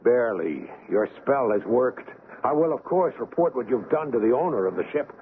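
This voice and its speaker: An actor playing an earnest, indignant young ship's doctor, grave in manner, acting and narrating in a vintage radio drama. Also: male voice, medium pitch, big pitch swings, normal speed, a little hiss or hum, lightly acted. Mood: accusing. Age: young adult. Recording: muffled old radio broadcast.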